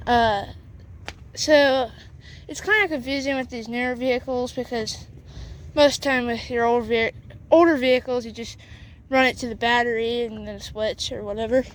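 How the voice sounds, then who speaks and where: Woman, high voice, casual how-to walkthrough, halting with lots of fillers, recorded around a truck, faint background noise. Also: steady tone, low-quality recording. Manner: halting, many fillers and repeated "essentially". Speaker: video host showing a vehicle setup.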